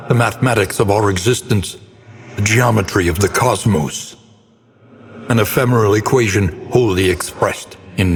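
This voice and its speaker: An actor playing a grim, haunted scholar who narrates cosmic horror in a grave, ornate, deliberate manner for a game. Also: male voice, fairly low pitch. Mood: ominous. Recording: long hall reverb, noisy ambient bed.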